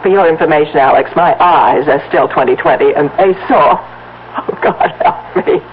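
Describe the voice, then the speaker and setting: An actress plays a frightened wife in a radio drama, earnest and insistent. She speaks haltingly, pressing hard on her words. The sound is narrow and tinny, with faint noise like an old broadcast. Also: sits low, lightly acted. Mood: indignant, distraught. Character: frightened, insistent wife who saw a faceless man.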